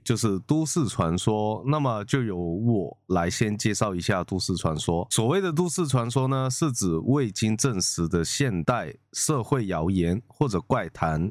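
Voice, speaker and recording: A man's voice: on the low side, darkish tone, no room sound, studio quality. A man hosting a podcast, explaining things in an orderly presenting style. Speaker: podcast host.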